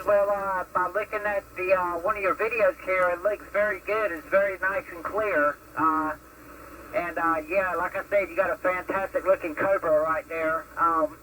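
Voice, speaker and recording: A man's voice: high-pitched, bright, forceful tone. A middle-aged or older man chatting courteously over CB radio, using operator jargon in a friendly way. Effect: CB radio transmission. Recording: distant, noisy CB radio through speaker.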